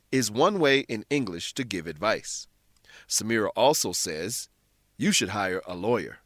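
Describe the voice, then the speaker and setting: A man's voice: mid-range voice, fairly bright tone, dry recording, studio quality. A man giving scripted educational narration as a host and English teacher, in a didactic, carefully enunciated manner.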